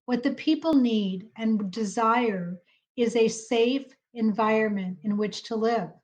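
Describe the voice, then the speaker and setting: A woman giving an advocacy speech in a measured, serious, formal way. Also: fairly high pitch, a little mellow.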